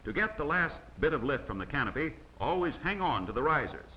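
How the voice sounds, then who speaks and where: A man reading scripted instructional narration in a measured way, as the narrator of a training film. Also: on the high side, big pitch swings. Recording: dull, noisy old 16mm film soundtrack.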